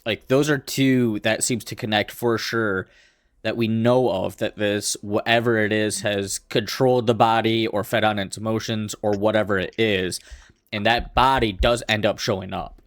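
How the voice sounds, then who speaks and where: Casting: male game player, fairly low voice, close dry sound, casual explaining. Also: fairly even intonation, moderate pace, no room sound. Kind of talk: casual discussion.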